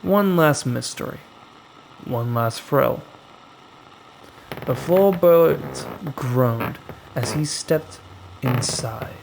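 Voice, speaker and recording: Male horror narrator, scripted and dramatic, extremely slow with suspenseful gaps, faint noise behind the voice. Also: mid-range voice, vocal fry, low-quality recording. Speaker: horror storyteller.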